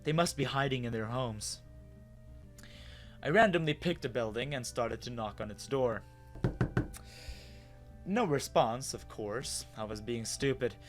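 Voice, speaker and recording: A man narrating a first-person story in an expressive, dramatic way with emphatic pauses. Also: medium pitch.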